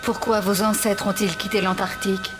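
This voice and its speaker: A woman's voice: medium pitch, little pitch movement, normal speed, over music, lightly acted. An actress playing a bold, self-assured female adventurer with a dry, teasing wit, for a game cutscene dub. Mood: wry.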